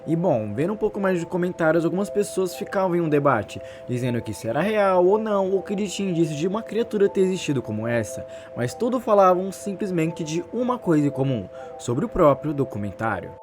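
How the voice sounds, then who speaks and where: A man's voice: high voice, very animated, fairly quick, hardly any pauses, music in the background. A man with a Brazilian Portuguese accent narrating a story as casual online commentary, in a wordy, intrigued manner. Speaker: YouTube narrator.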